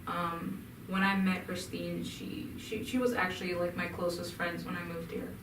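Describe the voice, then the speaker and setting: Hesitant young woman from Egypt, low and flat, in an echoey room. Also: moderate pace, noisy background. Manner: hesitant, many ums and restarts. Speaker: interview guest. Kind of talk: casual interview answers. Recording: echoey room, mic at a distance.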